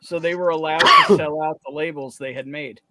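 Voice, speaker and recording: A man's voice: on the high side, fairly quick, crowd noise, poor audio. A man on a whiskey stream speaking emphatically, repeating phrases as he reads from a bottle label. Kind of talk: casual chat.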